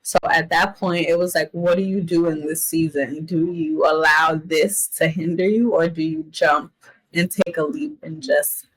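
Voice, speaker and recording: A woman in her late twenties speaks as a guest chef in a casual podcast chat. Her voice sits a little low, and she tells her story in an upbeat, thoughtful way. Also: steady tone, moderate pace, low-quality recording. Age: 20s.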